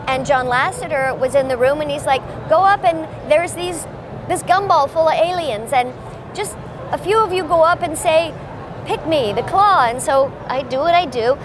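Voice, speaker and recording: A woman voice actor chatting in an interview, telling stories in a lively way with playful voice bits. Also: high voice. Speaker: voice actor guest. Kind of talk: casual interview chat. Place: busy convention. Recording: noisy convention floor.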